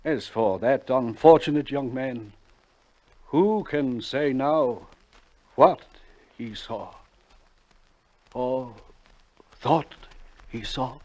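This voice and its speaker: An actor playing an injured, evasive doctor in a 1940s radio drama, speaking with dramatic pauses. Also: male voice, medium pitch, darkish tone. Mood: grave, sorrowful. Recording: vintage 1940s radio broadcast.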